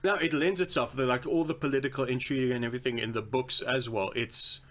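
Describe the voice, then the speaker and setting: Man in relaxed podcast conversation. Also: medium pitch, quick pace. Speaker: podcast co-host. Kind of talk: casual chat about films and games.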